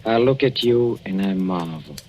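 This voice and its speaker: An actor playing a stout middle-aged professor, a fussy, cooing husband with veiled menace, in 1940s radio style. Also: male voice, deep voice, expressive, moderate pace. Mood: needling sweetness. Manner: fussy, cooing endearments, soft chuckling. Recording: noisy old radio recording.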